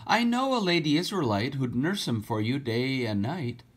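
A chatty little girl of nearly nine with a high, lilting voice, as a man reading a storybook puts her on. She talks quickly and brightly, full of innocent questions. The voice swoops up well above a man's usual pitch. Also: very animated, slightly rough. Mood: chirpy.

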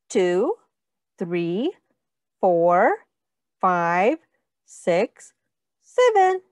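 Woman preschool read-aloud teacher. Higher-pitched, very lively intonation, instructive and sing-song, inviting kids to count along. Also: no room sound, studio quality. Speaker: teacher reading a picture book aloud to young children.

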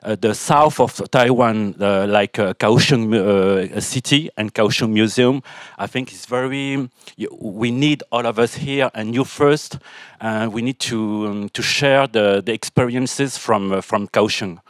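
A man's voice: on the low side, a little slow, no room sound, clean studio sound. Hesitant man with a French accent. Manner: hesitant, many fillers and restarts. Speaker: audience member asking a question.

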